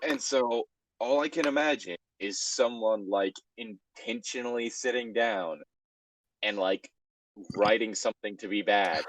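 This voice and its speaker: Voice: mid-range voice, measured pace, dry recording, low-quality recording. An exasperated, sardonic host casually reading fan fiction aloud and adding comic commentary.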